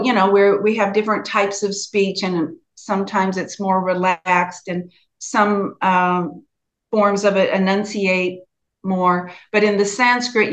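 A middle-aged woman from the United States with a soft Southern US accent, answering interview questions in a slow, measured way with frequent fillers. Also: medium pitch. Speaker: guest, sound healing practitioner.

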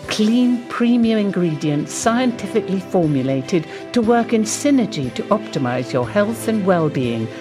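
Ad read, woman, slightly low pitch, upbeat persuasive, music bed. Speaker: advert voice-over. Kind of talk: scripted radio advert.

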